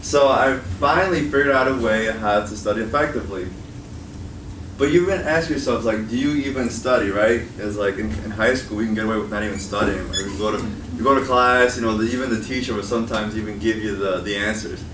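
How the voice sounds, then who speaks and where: A man's voice: lowish voice, fast talker, fairly bright tone. A young man with a Chicago accent, giving a casual talk to college students as a guest speaker and often checking in with "right?". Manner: conversational, often tags points with "right?". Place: meeting room at a college. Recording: echoey room from far off, noisy.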